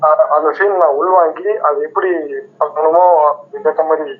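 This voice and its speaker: A high-pitched man speaks over a muffled phone line. He sounds deferential and eager, like a newcomer at an audition. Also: steady tone, normal speed. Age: 20s. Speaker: aspiring actor being prank called. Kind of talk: phone audition, casual answers.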